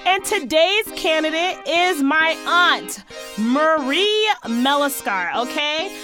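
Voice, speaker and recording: A woman hosting her own podcast in a sassy, chatty way, talking straight to her listeners, her voice a little hoarse. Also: sits high, expressive, slow pace, over music. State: slightly hoarse, losing her voice. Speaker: podcast host. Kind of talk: casual solo podcast chat.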